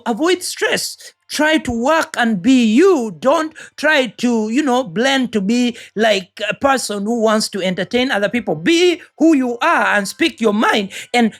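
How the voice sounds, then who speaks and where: A woman from Uganda with a Ugandan accent, giving an earnest, preachy motivational talk and often repeating words as she searches for the next one. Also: fairly high pitch. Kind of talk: motivational monologue.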